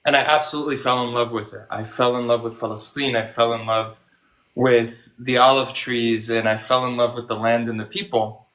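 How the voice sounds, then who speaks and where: A man's voice: mid-range voice, fairly even intonation, moderate pace, a bit bright, low-quality recording. A man from the United States, a guest on a live interview, earnestly telling a personal story with some "um" and "uh" fillers. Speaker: guest, medical humanitarian.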